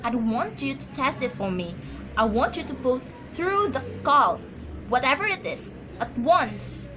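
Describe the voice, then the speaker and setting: A very high-pitched, nervous invalid wife acted by a woman in an amateur radio play. She is demanding and emotional, full of complaint. The recording has clear background noise. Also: very animated, unhurried. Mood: indignant. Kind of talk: amateur radio drama acting.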